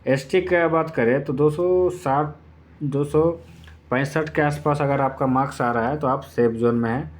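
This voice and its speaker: A man's voice: medium pitch, unhurried. Man explaining step by step, informally. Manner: repetitive, step-by-step explaining with filler phrases. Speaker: exam-prep YouTube presenter. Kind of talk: informal explainer addressed to exam candidates.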